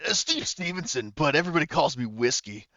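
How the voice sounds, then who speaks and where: A man's voice: a little high, expressive, fast talker, a bit bright, no echo, lo-fi sound, voice pushed up. A man voicing a brash, absurdly earnest male candidate giving a confident campaign speech in a tabletop game.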